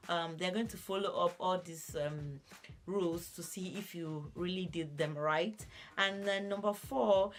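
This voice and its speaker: A woman's voice: on the low side, slight background noise. Woman with a cold-cracked voice. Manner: hesitant, many fillers, repeats herself. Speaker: beauty vlogger, host. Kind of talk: casual giveaway announcement.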